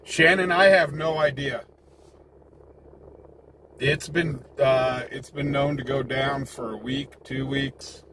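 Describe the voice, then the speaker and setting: A man's voice: medium pitch, steady tone, slow, long pauses. An American man chatting casually and folksily as host of a live stream. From United States. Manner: folksy, chatty, greeting viewers by name. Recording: clear background noise, vehicle sounds. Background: vehicle and ambient noise.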